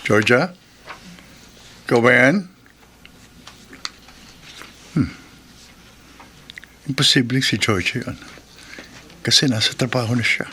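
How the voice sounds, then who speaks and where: A man's voice: lowish voice, sharp tone, slight background noise. A man playing a meek, nervous, lovelorn husband in a horror radio drama, hesitant and prone to stammering. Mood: puzzled. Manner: hesitant, stammering when flustered.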